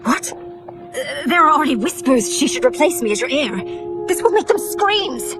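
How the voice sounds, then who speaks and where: A fretful young princess in a game cutscene, defensive and pleading, her voice a touch high. Game music runs clearly behind her. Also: very animated, normal speed. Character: anxious young princess and heir, hiding an illness.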